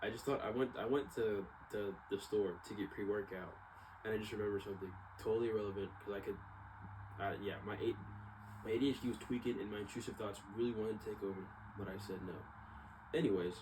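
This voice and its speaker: Low-voiced young guy, noisy background. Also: fairly even intonation, quick pace. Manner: informal slangy talk, circling back and trailing off. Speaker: vlogger. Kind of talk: casual rambling personal monologue. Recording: clearly noisy background ambience.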